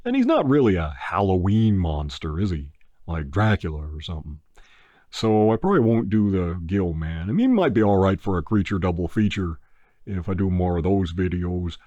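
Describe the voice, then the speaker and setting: Laid-back low-voiced man, rambling chat. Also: big pitch swings, moderate pace, warm tone, no echo, dull audio. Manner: rambling, laid-back, many ums and uhs. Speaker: movie review channel host. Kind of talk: casual podcast-style chat.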